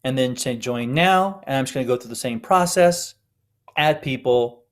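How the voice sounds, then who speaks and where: A man's voice: medium pitch, moderate pace. A man giving an informal, step-by-step tutorial narration as an instructor. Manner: explanatory, step-by-step.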